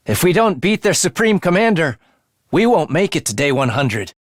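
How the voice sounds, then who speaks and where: A man's voice: fairly high pitch, big pitch swings, normal speed, no room sound, clean studio sound. A man voicing an eerie, bloodthirsty young male student in a video game, with a sinister, theatrical manner that savors morbid details. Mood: urgent. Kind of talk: video game character voice acting.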